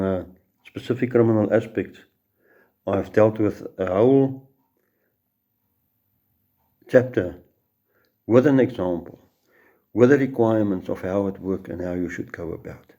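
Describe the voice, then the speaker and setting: Brief: middle-aged South African Afrikaans-accented man, lecturing judge, low and warm, anecdotal with frequent restarts. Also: measured pace, long pauses, lo-fi sound. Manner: storytelling, repeats words and restarts. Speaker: judge teaching law.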